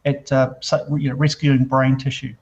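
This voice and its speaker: Brief: New Zealand exec, monotone, gravelly, hesitant, muffled call audio. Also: male voice, mid-range voice. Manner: halting, many fillers and restarts. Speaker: biotech company CEO. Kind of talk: interview answers.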